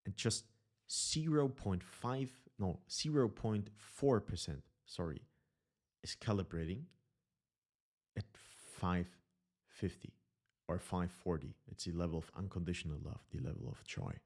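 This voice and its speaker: A man with a German accent, a native German speaker, earnestly teaching about consciousness over slides and often pausing to correct his words. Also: sits low, a little mellow. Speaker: consciousness mentor.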